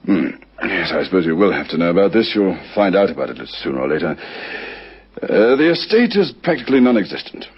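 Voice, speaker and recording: Radio drama lawyer: husky, expressive, eloquent and cagey, on a muffled old recording. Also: male voice, deep voice, exaggerated voice. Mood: frank, reluctant. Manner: deliberate, formal, hedging. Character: large, eloquent male attorney, guarded and worldly. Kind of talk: radio crime drama acting. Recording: dull lo-fi vintage radio recording.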